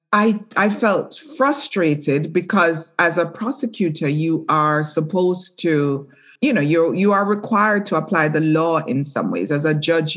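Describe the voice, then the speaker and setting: A middle-aged woman, a former prosecutor and judge, speaking earnestly and in a measured way in an interview. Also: sits low, muffled recording. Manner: earnest, measured, with repeated restarts. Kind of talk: reflective interview talk.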